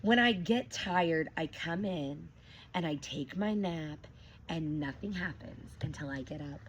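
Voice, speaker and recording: A woman teacher reading a picture book aloud to young children, expressive, stopping to ask them questions. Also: low voice, measured pace, a little hiss or hum.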